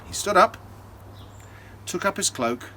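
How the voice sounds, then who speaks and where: A man telling a folktale as a storyteller, in a theatrical, deliberate way with dramatic pauses. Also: high-pitched, animated, sharp tone, slight background noise.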